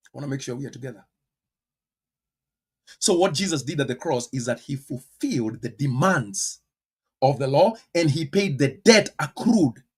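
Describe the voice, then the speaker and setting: A man with a Kenyan accent preaching a teaching sermon, stressing words and firing rhetorical questions, with sudden loud bursts and quiet asides. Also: mid-range voice, lively intonation, moderate pace, long pauses, breathy edge. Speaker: pastor.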